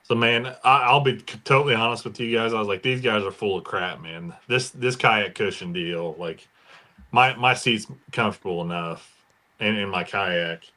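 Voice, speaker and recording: A man's voice: fairly low pitch, moderate pace, sharp tone. An American man talking casually and informally as a podcast co-host. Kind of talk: casual chat.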